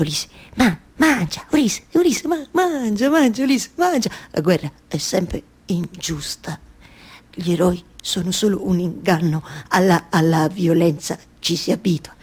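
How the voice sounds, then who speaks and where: A woman acts a long-waiting wife from a radio play, with a husky voice and a Roman accent. She is weary, exasperated and tender at once. Her theatrical monologue circles back on words and phrases, halting and starting again. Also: medium pitch. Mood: pleading, exasperated, then bitter, brooding.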